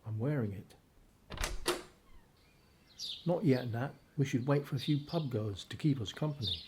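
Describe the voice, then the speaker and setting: An actor playing an ordinary, wary husband with a dry conversational manner in a radio drama. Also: male voice, mid-range voice, animated, a little fast, noisy background, lightly acted. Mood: resolved, hushed.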